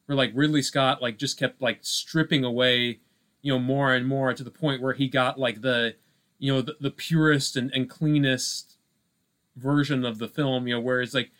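A man co-hosting a casual film discussion podcast, speaking haltingly and peppering his sentences with "you know" and "like". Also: mid-range voice, steady tone, fairly bright tone. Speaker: podcast co-host.

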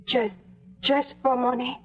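A woman's voice: sits high, fairly even intonation, slow. A wary young foreign woman. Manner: wary, clipped, formal English. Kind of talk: 1950s radio drama acting. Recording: muffled 1950s radio broadcast with music.

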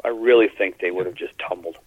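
A man's voice: low-pitched, quick pace, very noisy. A man co-hosting a podcast, chatting casually about sports and acting out players' quotes as he goes. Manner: animated, mimics players' quotes in asides. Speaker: podcast co-host. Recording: dry, phone-like narrow sound.

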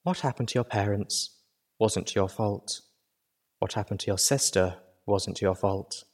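A man reading a calm, gentle mare. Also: lowish voice, measured pace, breathy edge. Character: gentle adult mare healer, calm and sad.

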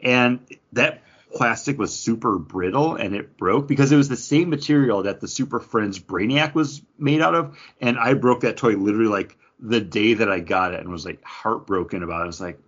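Slightly husky man, keen and slangy. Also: on the low side, moderate pace, hardly any pauses, a bit bright, muffled recording. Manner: enthusiastic, slangy, sweary. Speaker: podcast co-host. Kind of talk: casual chat about comics and toys.

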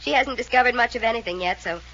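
A woman's voice: fairly high pitch, fast, fairly bright tone, close to an everyday voice. A woman playing a cool, dismissive young woman in a 1940s radio mystery. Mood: dry, pitying. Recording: dull old radio recording, faint noise.

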